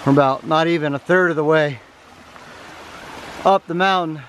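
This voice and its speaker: A man's voice: on the high side, fairly even intonation, moderate pace, slight background noise. Folksy man vlogging on a trail. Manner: folksy, easygoing, talks to the camera while hiking. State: tired. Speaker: thru-hiker vlogger. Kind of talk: casual trail vlog narration. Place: outdoors on a wooded mountain trail. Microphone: handheld camera at arm's length.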